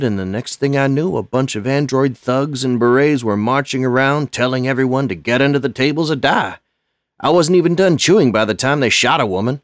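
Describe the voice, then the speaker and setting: A man voicing an older male janitor, gruff and shaken, with folksy slang. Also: medium pitch, dry recording, clean studio sound, voice pushed up, close to an everyday voice. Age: 60s.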